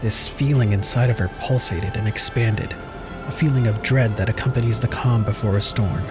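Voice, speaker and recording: A man's voice: on the low side, normal speed, music in the background. A man reading scripted dramatic narration as the narrator of an audio story.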